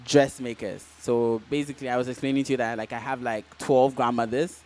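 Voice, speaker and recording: A man's voice: mid-range voice, brisk. Casual Ghanaian man in a live interview. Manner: relaxed, casual, many fillers like "um" and "like". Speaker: guest fashion designer. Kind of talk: interview answers.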